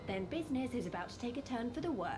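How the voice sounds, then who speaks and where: A woman voicing a young, determined, sharp-tongued adventurer in a video game, with a dry and defiant manner. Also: a little high, expressive, quick pace, over music, close to an everyday voice. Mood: defiant, resolute. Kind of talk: video game character acting.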